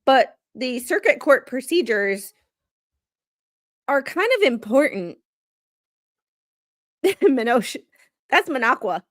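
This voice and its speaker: A woman hosting a livestream as a non-lawyer commentator, reading legal filings aloud haltingly and chatting about them in an informal, self-deprecating way. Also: high-pitched, big pitch swings.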